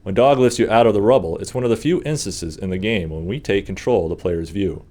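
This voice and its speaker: A man's voice: fairly low pitch, a little fast, mellow. A man reading scripted developer commentary on a video game.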